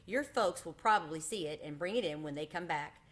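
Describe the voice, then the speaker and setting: A woman's voice: deep voice, fairly quick, close to an everyday voice. A woman voicing a small, proud, boastful male mouse in a children's storybook. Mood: offhand, proud. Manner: offhand bragging, eager.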